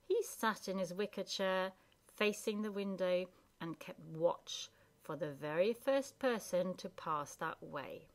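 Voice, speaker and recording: A woman storyteller reading a storybook aloud in an expressive narrating style. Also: fairly low pitch, slow pace.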